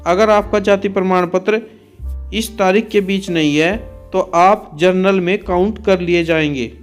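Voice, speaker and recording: A man giving a methodical informational explanation, restating points so they are clear. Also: high voice, fairly even intonation, measured pace, soft background music. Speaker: exam and recruitment explainer, host.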